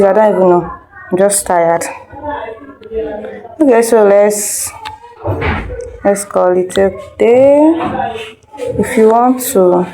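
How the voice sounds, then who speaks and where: A woman giving a casual online editing tutorial, thinking aloud and muttering haltingly as she works. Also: medium pitch, mellow, low-quality recording. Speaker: instructor of an online video editing class.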